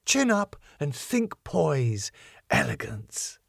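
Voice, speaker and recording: A commanding, poised ballroom lady, as a man puts her on in a sitcom reading. The voice is lifted in pitch, theatrical and used to being obeyed. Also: very animated, slow pace, gravelly, dry recording, clean studio sound, heavily acted. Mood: bossy, coaxing. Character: Doreen, bossy, poised woman ballroom dancer.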